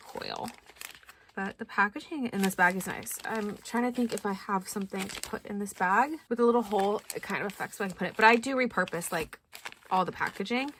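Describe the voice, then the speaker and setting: A young woman chatting casually through a stationery unboxing, thinking aloud with lots of likes and ums, her voice a little hoarse. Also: mid-range voice, a bit bright, slight background noise. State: slightly hoarse. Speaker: planner vlogger.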